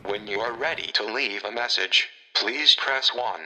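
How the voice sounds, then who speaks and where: A man voicing an impersonal automated phone message system in a sci-fi audio drama, formal and measured. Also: on the low side, background noise, lightly acted. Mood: neutral, official.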